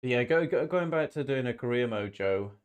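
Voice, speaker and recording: A man's voice: medium pitch, animated, brisk, clean tone. A man with a slight cold chatting casually as a live-stream host, rambling and often repeating his phrases. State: slight head cold, sniffly. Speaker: streamer hosting a football watch-along.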